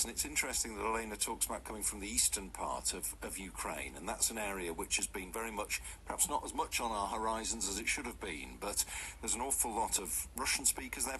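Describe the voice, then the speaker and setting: A man's voice: on the low side, sharp tone, slight background noise, low-quality recording. A man presenting a live radio show, interviewing guests conversationally in a studio. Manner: conversational, leading questions.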